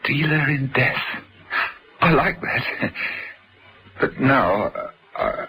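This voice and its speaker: An actor playing a menacing, fastidious assassin in a vintage radio drama, halting and relishing his words. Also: mid-range voice, animated, bright, forceful tone, heavily acted, poor audio. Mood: gloating menace. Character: menacing, squeamish-voiced male assassin who savours neat murders.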